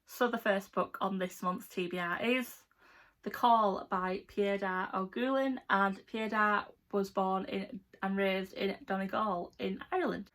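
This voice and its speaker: Young English woman with an even pitch. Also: measured pace. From England. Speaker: book vlogger. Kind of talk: casual chat to camera.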